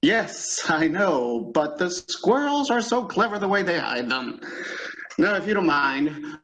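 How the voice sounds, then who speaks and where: A man's voice: on the high side, very animated, unhurried, a bit bright, rough recording. A man acting an exasperated, sarcastic office boss in an old-time radio comedy, fussy and dry. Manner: fussy, dry, put-upon.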